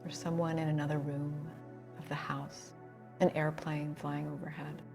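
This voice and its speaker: A woman leading a guided relaxation as a yoga instructor, soothing and unhurried, with pauses between phrases. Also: low-pitched, little pitch movement, gravelly, over music.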